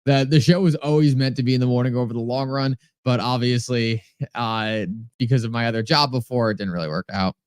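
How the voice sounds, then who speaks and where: Casual male livestream host with a New England accent, smooth clean voice, dry close sound, friendly chat-reading delivery. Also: mid-range voice, steady tone, fairly quick, no room sound. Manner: chatty, reads viewer comments aloud and thanks them by name.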